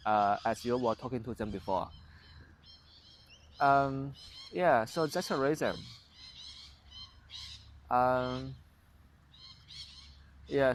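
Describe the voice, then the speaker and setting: Young male vlogger, Chinese accent, hesitant and unpolished, slight background hiss. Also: mid-range voice. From China. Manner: halting, many fillers and restarts. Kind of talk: casual monologue to camera.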